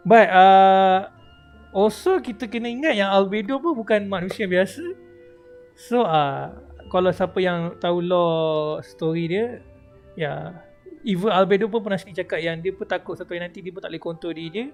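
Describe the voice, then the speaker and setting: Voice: medium pitch, a little fast, a little mellow, faint music behind. Chatty Malaysian game streamer. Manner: mixes Malay and English, chatty. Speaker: livestreamer. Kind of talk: casual game livestream commentary.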